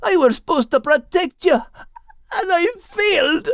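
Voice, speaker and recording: Grieving male animal, storybook, very high voice, anguished, pleading. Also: expressive, a little slow, vocal fry, no room sound, studio quality, full character voice. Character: grieving male animal, loyal to his friend.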